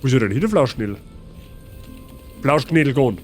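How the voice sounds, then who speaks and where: A man's voice: medium pitch, expressive, brisk. Bavarian guy streaming a game, chatty n playful, voice jumps around a lot, music behind him. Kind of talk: casual live gaming commentary.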